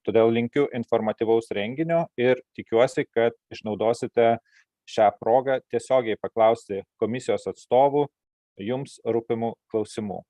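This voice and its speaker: Lithuanian-accented host, dry and plain. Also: male voice, on the low side, warm tone, no echo. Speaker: virtual host. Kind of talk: formal webinar hosting. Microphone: video call.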